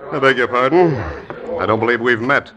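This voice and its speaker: A man playing a cold, smooth-talking claim jumper. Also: mid-range voice, fairly quick, dull audio, lightly acted. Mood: menacing. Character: menacing saloon boss, claim jumper, man. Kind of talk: 1950s radio drama acting. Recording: old radio broadcast with crowd noise.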